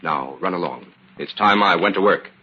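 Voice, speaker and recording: Man acting a low-voiced cool radio sleuth. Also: normal speed, natural voice. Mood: calm, decisive. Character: confident, cool-headed male crime investigator and undercover hero. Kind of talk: old-time radio drama acting. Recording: dull, noisy old radio recording.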